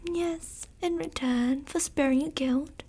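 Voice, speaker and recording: A woman's voice: high voice, slow, mellow. A woman playing a sadistic, possessive, seductive vampire commander in an ASMR roleplay, speaking theatrically and tauntingly with terms of endearment. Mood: silky, possessive. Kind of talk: ASMR roleplay, dramatic monologue.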